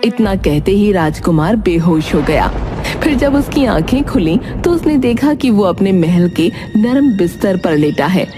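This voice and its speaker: A woman's voice: medium pitch, sing-song, unhurried. Female storybook narrator with music behind. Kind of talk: scripted storytelling narration for a Hindi animated moral tale.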